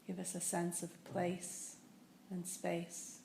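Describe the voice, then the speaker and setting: A woman teaching a guided meditation, speaking in a gentle, unhurried way with long pauses. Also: fairly low pitch, completely flat delivery, vocal fry. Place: indoor room in a building. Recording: echoey room with faint noise.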